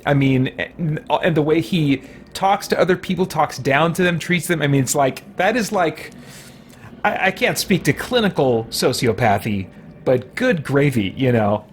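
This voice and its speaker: Higher-voiced man rambling on a livestream. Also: measured pace, slight background noise. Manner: rambling, thinking aloud, chuckling often. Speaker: game livestream host. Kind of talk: casual livestream commentary, chatting with viewers.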